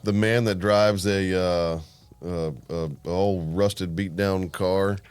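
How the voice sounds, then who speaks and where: A man hosting a podcast interview, easygoing and quick with enthusiastic reactions. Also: low voice, steady tone, a little mellow, clean tone. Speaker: podcast host. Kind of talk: casual interview.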